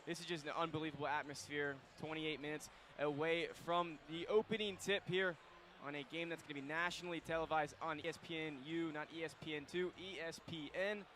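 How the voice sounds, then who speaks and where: A young man hosting a college basketball pregame show on student radio, courtside in a packed arena, talking excitedly and rambling with fillers. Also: fairly high pitch, fairly quick. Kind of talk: live basketball pregame talk. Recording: faint arena noise behind.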